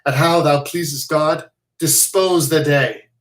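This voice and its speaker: A reader takes on a resolute, defiant king. The voice sits low and measured, with a roomy echo around it. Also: steady tone, distant mic, natural voice. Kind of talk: reading a play aloud.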